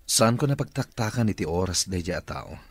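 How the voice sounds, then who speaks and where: An actor with an Ilocano accent playing a wealthy, authoritative boss in a radio drama. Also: male voice, sits low, lively intonation, moderate pace, no echo. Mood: stern, resolute. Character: wealthy recovering boss, stern and resolute.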